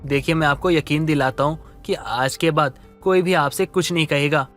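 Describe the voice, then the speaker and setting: A man's voice: a little high, normal speed, a bit bright, soft background music, close to an everyday voice. Man playing a meek, polite husband. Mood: meek, pleading. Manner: polite, hesitant, pleading. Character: meek, patient middle-class husband, lovestruck and submissive. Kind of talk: Hindi animated story dialogue.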